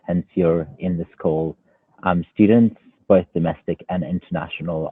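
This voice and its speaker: A man's voice: low-pitched, slightly rough, dry recording. A man speaking informally as a student union panelist, explaining things in a hesitant way. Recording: phone-like narrow call audio.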